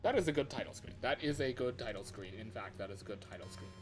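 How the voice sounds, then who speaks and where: A man doing casual live gameplay commentary as a streamer, excitable and reactive, often muttering to himself as he plays. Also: mid-range voice, fast talker. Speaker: livestreamer. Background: music.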